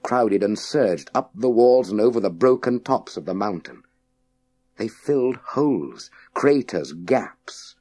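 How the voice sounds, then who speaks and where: Male audiobook narrator for young people's sci-fi: low, very unhurried, suspenseful, with dramatic pauses. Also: mellow, breathy edge. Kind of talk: scripted dramatic narration of a children's sci-fi story.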